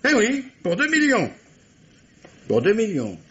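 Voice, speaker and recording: Crafty old-radio police commissioner, repeats himself then gets bossy, very up and down voice, muffled sound. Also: male voice, high-pitched, wildly swooping pitch, a little slow, a bit bright, full character voice. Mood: insinuating. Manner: hesitant, repetitive phrasing that turns commanding. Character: wily, insistent police commissioner interrogating a suspect. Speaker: actor in a radio play. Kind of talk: 1950s French radio mystery acting. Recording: muffled vintage radio recording, faint noise.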